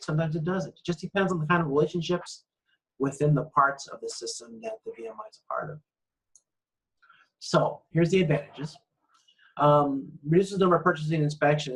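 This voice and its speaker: A man with a slightly high-pitched voice gives an informal lecture. He explains in a conversational manner, with some halting phrasing as he goes. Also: low-quality recording.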